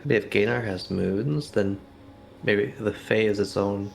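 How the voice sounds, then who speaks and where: A man's voice: lowish voice, measured pace, gravelly, faint music behind. A man talking casually as a player in a tabletop roleplaying game.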